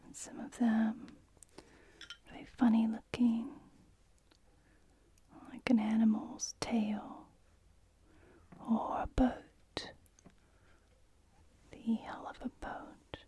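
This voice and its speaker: A woman speaking in a soft, breathy whisper, gently role-playing an attentive shop assistant for ASMR. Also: a little high, level tone. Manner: gentle, unhurried, attentive to the customer.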